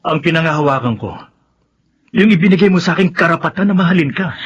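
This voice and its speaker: A man's voice: on the high side, expressive, quick pace, husky. An actor playing a devoted, pleading young man in love, earnest and melodramatic in a Filipino radio drama. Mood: ardent, pleading. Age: young adult. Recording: muffled audio with faint noise.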